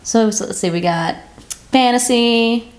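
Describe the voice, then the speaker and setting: A woman's voice: fairly high pitch, unhurried. A young adult woman co-hosting a podcast in casual chat, speaking informally and repeating phrases for emphasis. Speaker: podcast co-host. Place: living room, on a sofa.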